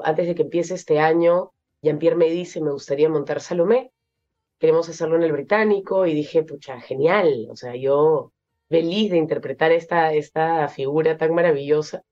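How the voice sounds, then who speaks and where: A young Peruvian woman with a Peruvian Spanish accent, an actress being interviewed, speaking thoughtfully with many pauses and fillers. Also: sits low, a little mellow, lo-fi sound. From Peru. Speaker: actress guest. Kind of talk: interview conversation.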